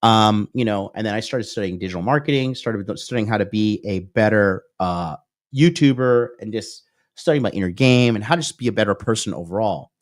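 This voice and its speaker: A man's voice: fairly low pitch, a little fast, no room sound, studio quality. A male dating coach in his thirties, giving a whiteboard lecture on a livestream and peppering his points with "okay?" and "right?". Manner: reads out and answers viewer comments.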